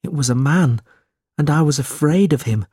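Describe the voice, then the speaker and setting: Man voicing a frightened, plaintive orphan boy, higher-pitched. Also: normal speed, mellow, breathy edge, no echo, studio quality. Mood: fearful. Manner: sad, plaintive, fearful.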